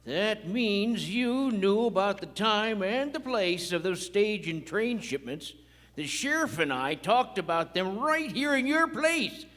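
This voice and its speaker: A man playing a folksy, slow-witted cowpoke with a hesitant aw-shucks manner in a live western radio play. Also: high voice, sing-song, fairly bright tone, exaggerated voice. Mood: earnest, accusing. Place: large performing arts hall, on stage. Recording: echoey hall, live stage performance.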